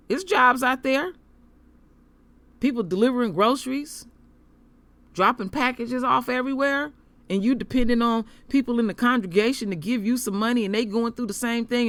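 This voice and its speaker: A man's voice: moderate pace, a bit bright, dry recording. A middle-aged man hosting his own commentary, talking unscripted and passionately to listeners he calls his family, heating up into rants. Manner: impassioned, preachy, addresses listeners as royal family; heated and ranting, rising pitch when angry. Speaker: online commentary host.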